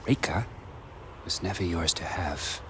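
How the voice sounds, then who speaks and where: An actor playing a smug, condescending villain in a video game, speaking with formal, measured menace. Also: male voice, sits low, fairly bright tone, slight background noise. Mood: cold condescension. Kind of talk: video game cutscene acting.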